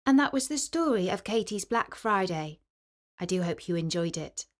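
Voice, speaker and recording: A woman storyteller narrating a children's story in an expressive, clearly articulated storybook manner. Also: fairly low pitch, moderate pace.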